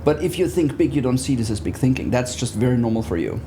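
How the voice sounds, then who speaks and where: Guy chatting as interview guest, soft mellow voice, bit of background noise. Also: medium pitch, very animated, fast talker. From Austria. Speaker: interview guest, business therapist. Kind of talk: conversational interview talk.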